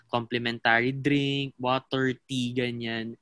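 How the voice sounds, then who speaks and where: A man's voice: medium pitch, little pitch movement, measured pace, a bit bright, smooth, dry recording. A man in his twenties with a Filipino accent, telling a travel story in casual chat. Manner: code-switching, storytelling with fillers.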